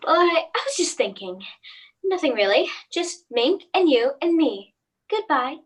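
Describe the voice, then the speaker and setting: A woman's voice: sits high, sing-song, a little slow. A woman playing an anxious, hesitant suburban housewife and mother in a radio play. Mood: uneasy. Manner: hesitant, brooding, theatrical.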